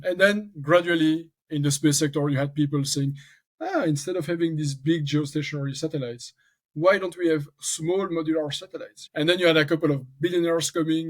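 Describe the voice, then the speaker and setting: A man from French-speaking Belgium with a strong French accent, a talkative podcast guest who thinks aloud in restarts. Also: mid-range voice. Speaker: venture capital founder, guest. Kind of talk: casual podcast interview.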